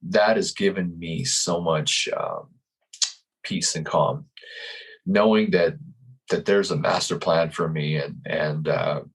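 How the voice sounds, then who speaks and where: A man in his fifties, a life coach, giving calm and earnest interview answers. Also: sits low, husky, poor audio. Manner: calm, earnest, speaks slowly in thought.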